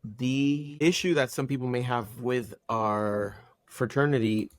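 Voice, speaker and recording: A man's voice: mid-range voice, fairly even intonation, a little slow, no echo. Puerto Rican man, dry close sound. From Puerto Rico. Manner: enthusiastic, agreeable backchanneling. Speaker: podcast guest. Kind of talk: casual podcast conversation.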